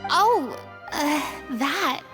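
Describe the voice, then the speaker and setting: A woman voicing a young, proud and easily flustered stage star in an English video game dub, with expressive delivery. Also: high voice, very slow, faint music behind, heavily acted. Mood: sheepish.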